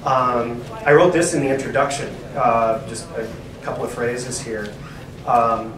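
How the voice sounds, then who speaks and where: A man's voice: medium pitch, steady tone, a little slow, a crowd in the background. A male professor discussing literature at a library talk, thoughtful and hedging with frequent "right?". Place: room in a university library. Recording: echoey room, distant mic, background noise.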